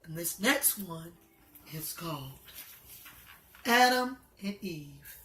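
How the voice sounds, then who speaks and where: A woman reading a children's story aloud, with halting, deliberate delivery. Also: lowish voice, expressive, fairly bright tone, slight background noise. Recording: echoey room.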